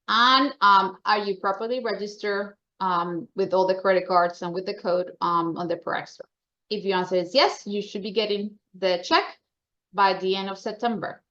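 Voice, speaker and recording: The audio is slightly dull and lo-fi. A woman with a Colombian accent and a medium-pitched voice presents announcements to members. Her delivery is informal, chatty and upbeat. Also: fairly bright tone.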